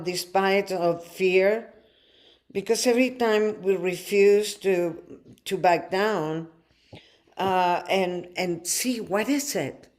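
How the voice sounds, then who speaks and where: A woman from Chile, co-hosting a casual podcast as a life coach, reflective with soft laughs. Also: lowish voice.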